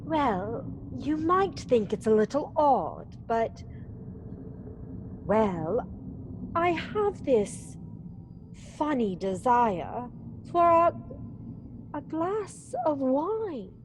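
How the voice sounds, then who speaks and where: An actress playing a coy, sweet young Edwardian wife in a period radio drama, hesitant and wheedling. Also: female voice, high-pitched, sing-song, extremely slow, pauses often, background noise, full character voice. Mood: sweetly wheedling.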